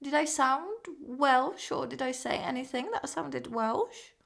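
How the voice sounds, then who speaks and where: Casting: chatty woman host, high voice with gravelly fry, bemused and affectionate. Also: expressive, takes it slowly, a bit bright. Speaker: English teacher hosting the chat. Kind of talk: casual chat.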